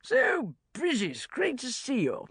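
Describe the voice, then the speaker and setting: A woman's voice: on the low side, wildly swooping pitch, a little slow, gravelly, breathy edge, no room sound, clean studio sound, exaggerated voice. A woman voicing an enormous, leering, patronizing male merchant banker, bellowing and blustering in an audiobook. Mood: hearty, leering. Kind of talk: audiobook character voice.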